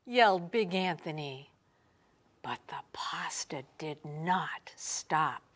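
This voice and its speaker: A woman reads a children's story aloud with theatrical expression. Her voice sits a little high and swings widely in pitch. She takes her time over each phrase, sometimes dropping to a hush. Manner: expressive, theatrical, drawn-out phrasing with hushed drops. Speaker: storybook reader. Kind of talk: dramatic children's read-aloud narration.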